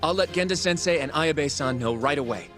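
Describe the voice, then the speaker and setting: A man's voice: fairly high pitch, fairly quick, a bit bright, soft background music, lightly acted. A man voicing an eager, earnest, easily flustered young junior lawyer in an English game dub. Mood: excited. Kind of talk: dubbed video game cutscene acting.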